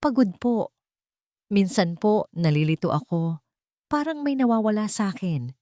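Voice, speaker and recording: A woman's voice: medium pitch, lively intonation, dark tone, no echo, clean studio sound, pitched above the performer's own voice, close to an everyday voice. Woman voicing a weary, confused grieving father. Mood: weary, lost. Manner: halting, sorrowful.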